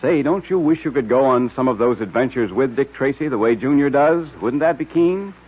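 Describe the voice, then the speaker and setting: A thin, tinny vintage radio recording of a male announcer. His medium-pitched voice has a husky, unclear edge as he reads in the scripted style of old serials. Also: lively intonation, fairly quick. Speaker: announcer and narrator.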